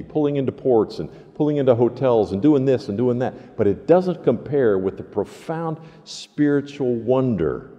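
A man in his sixties preaching a reflective, conversational sermon as a pastor, earnest and deliberate with emphatic pauses. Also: lowish voice, mellow. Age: 60s. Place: large empty church sanctuary, indoors. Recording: reverberant church hall, slightly muffled.

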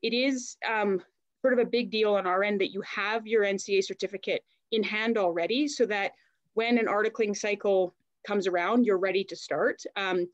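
A woman's voice: medium pitch, fairly even intonation, moderate pace, no echo, dull audio. A woman recruitment manager on a panel, speaking in a practical way with some fillers over a video call. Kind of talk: panel discussion.

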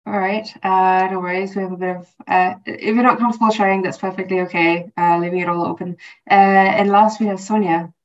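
A woman's voice: medium pitch, fairly even intonation, fairly quick. A woman from Singapore presenting an online workshop, upbeat and chatty with frequent fillers, heard over a video call. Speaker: workshop speaker. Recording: distant, dull call audio.

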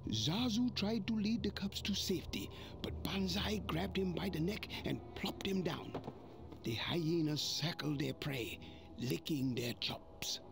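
An actor playing an old male mandrill elder, narrating a storybook read-along in an expressive way. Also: a little high, measured pace, creaky. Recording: music playing behind.

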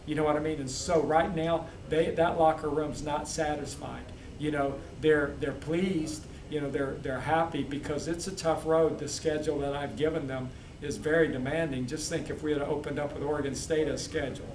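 A man who is a head football coach, answering postgame questions in a steady, measured way with many fillers. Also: on the high side. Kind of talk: postgame press interview. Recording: echoey, distant mic, crowd noise.

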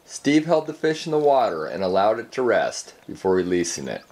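A man giving calm, measured scripted voice-over narration for an outdoor video. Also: lowish voice.

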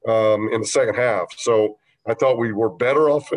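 Low-voiced guy coaching basketball, talking after the game, kinda halting with lots of ums. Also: rough recording. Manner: measured, halting, many fillers. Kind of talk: post-game press conference answers.

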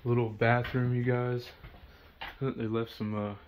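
A man's voice: medium pitch, flat delivery, measured pace. A young man narrates a walkthrough of a deserted house into a first-person camera, speaking in a casual, level voice with little rise and fall. He points out rooms and leftover objects as he goes. A faint background noise runs under his voice. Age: 20s. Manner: talks to viewers while exploring, points out objects in plain remarks. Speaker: urban explorer vlogger. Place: indoors in an empty abandoned house. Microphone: first-person body or head camera.

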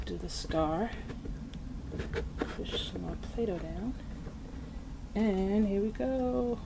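Slow, gentle woman narrating toy play. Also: mid-range voice, vocal fry. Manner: slow, gentle, playful commentary with soft asides. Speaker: toy video host. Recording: background noise.

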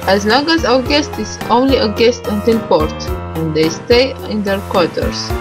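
A woman's voice: medium pitch, lively intonation, normal speed. A woman doing casual game commentary, reading a visual novel's dialogue aloud and stumbling over some words with self-corrections and asides. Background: music.

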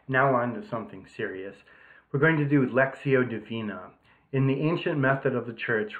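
A middle-aged man leads an online retreat in a conversational way, repeating key phrases as he teaches. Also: medium pitch. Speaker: priest leading an online retreat. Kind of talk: informal devotional teaching to camera. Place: small room at home. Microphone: webcam at arm's length. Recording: muffled, phone-like webcam audio.

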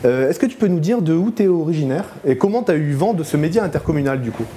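A man's voice: on the high side, lively intonation, quick pace, warm tone, slight background noise. A man in his thirties with an accent from France, casually hosting an unscripted interview in a small indoor studio. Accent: French from France. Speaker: host of a local media show.

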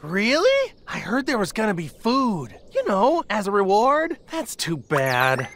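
A woman's voice: mid-range voice, wildly swooping pitch, measured pace, fairly bright tone, a little hiss or hum. A woman playing a carefree, naive male martial arts hero in an anime game dub, boyish and easygoing. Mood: goofy, eager.

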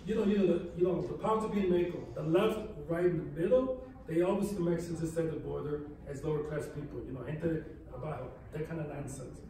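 Fast, blunt older man in echoey hall. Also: on the high side, fairly even intonation, warm tone, recorded from a distance. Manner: blunt, outspoken, fast-talking. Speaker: panelist, museum founder. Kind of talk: panel discussion. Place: large hall with brick walls. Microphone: far room mic.

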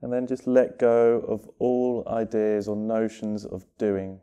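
Slow, very mellow man guiding meditation. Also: fairly low pitch, fairly even intonation, clean tone. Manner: unhurried phrases with long pauses. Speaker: meditation guide. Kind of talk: guided meditation, gentle instruction.

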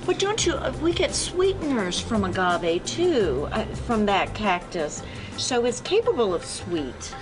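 A woman's voice: medium pitch, sing-song, a little slow. A woman hosting a cooking show, chatting with a guest in a restaurant and exclaiming over the food. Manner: enthusiastic, exclamatory. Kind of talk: casual interview while tasting food. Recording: music playing behind.

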